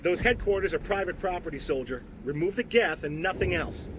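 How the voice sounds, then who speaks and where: A man's voice: brisk, natural voice. An actor playing a suspicious, officious company man in a video game, curt and defensive. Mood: cold, officious. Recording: noisy rumbling outdoor ambience behind voice.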